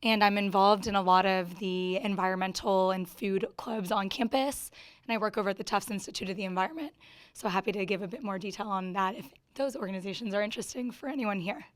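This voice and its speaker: Young female student, medium pitch, smooth voice, brisk informal introduction. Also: no room sound. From Kentucky, United States. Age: 20s. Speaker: graduate student panelist.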